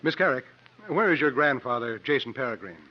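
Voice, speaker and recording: A man's voice: medium pitch, animated, brisk, close to an everyday voice. An actor playing a confident, articulate travelling strongman and sleuth in a 1950s radio drama, with formal theatrical phrasing. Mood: assured, probing. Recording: muffled vintage 1950s radio recording.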